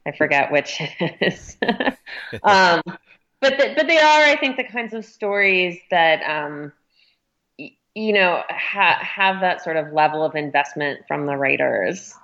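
A woman's voice: on the low side, animated, measured pace, a bit bright, highs cut off. A woman speaking as a magazine editor in a conversational interview, explaining things thoughtfully.

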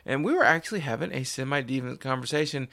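A man's voice: mid-range voice, expressive, fast talker, vocal fry, sharp tone, no echo, studio quality. A man in his forties hosting a podcast in casual chat, rambling with restarts and wry asides. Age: 40s. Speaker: podcast host.

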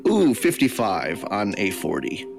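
A man's voice: lowish voice, huge pitch swings, unhurried, gravelly, over music. A man playing a tabletop game, talking casually in a wry, blunt way. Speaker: player.

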